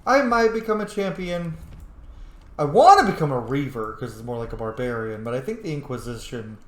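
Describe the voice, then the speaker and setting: Male let's-play host: lively swings in pitch, casual and foul-mouthed, roomy echoing sound. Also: fairly quick. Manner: sweary, informal, exclamatory. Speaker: video game streamer, host.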